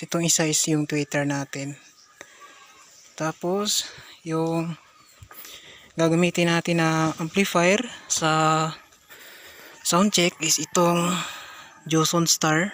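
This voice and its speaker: A Filipino man giving a casual hands-on product demonstration, speaking haltingly with frequent short interjections. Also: fairly high pitch, a bit bright. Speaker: product reviewer.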